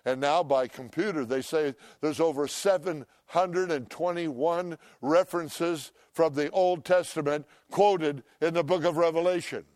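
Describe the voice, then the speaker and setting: An older man preaching a sermon, loud and emphatic, swinging between shouts and hushed asides. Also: a little high, measured pace, hardly any pauses, no room sound. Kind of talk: impassioned sermon.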